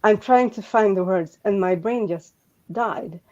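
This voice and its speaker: Woman, Swedish accent, slow, lowish voice, halting and rambling, dull lo-fi call audio. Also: dark tone. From Sweden. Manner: halting, many restarts and fillers, rambling. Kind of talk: casual group chat.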